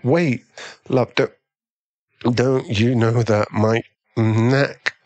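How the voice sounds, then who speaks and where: Man acting a slow-talking, pleading doting boyfriend. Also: mid-range voice, steady tone, a little mellow, dry recording. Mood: flustered, yielding. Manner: pleading, halting, breathy sighs. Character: doting, easily swayed millionaire boyfriend. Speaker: ASMR roleplay voice actor. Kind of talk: ASMR boyfriend roleplay.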